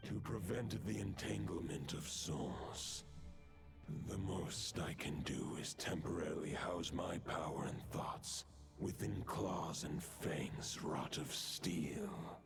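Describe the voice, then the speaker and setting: Solemn ancient wolf king, very deep, gravelly, slow archaic speech, loud game music underneath. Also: in a whisper, exaggerated voice. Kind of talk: game dub acting.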